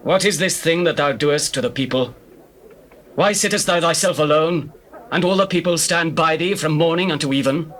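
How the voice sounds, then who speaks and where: An actor playing a wise, elderly biblical father-in-law in a solemn, measured scripture drama. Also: fairly high pitch, fairly bright tone, lightly acted. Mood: concerned questioning. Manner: solemn, measured, counselling delivery. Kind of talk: dramatized King James scripture acting.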